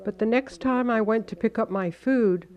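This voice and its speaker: A woman reading a translated first-person account as a measured, earnest voice-over. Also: on the high side, dull audio.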